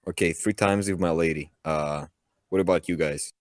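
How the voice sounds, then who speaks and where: A man with a very deep voice heckles a voice chat in a crude, deadpan, mocking way. His voice is close and dry, with no room sound. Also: normal speed, dry recording. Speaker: troll in voice chat. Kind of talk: crude trolling banter.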